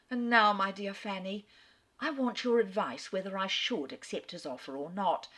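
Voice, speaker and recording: A woman's voice: medium pitch, fairly bright tone, slightly rough. A woman with an Australian accent reading aloud as a giddy young woman who gushes theatrically about a marriage offer. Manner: breathless, gushing, theatrical.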